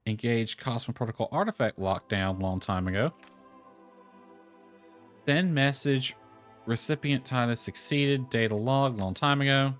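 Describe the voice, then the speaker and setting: A man's voice: mid-range voice, unhurried. A man livestreaming a video game, talking casually to himself and reading game text aloud. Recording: music playing behind the voice. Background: lo-fi music.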